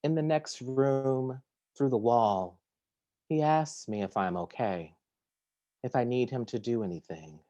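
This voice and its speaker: Slow, measured man reading poems. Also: medium pitch, no echo, dull audio. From Detroit, United States. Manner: measured, deliberate reading that drops to a hush. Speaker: poet. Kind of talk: poetry reading. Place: home, at a kitchen table. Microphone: video call.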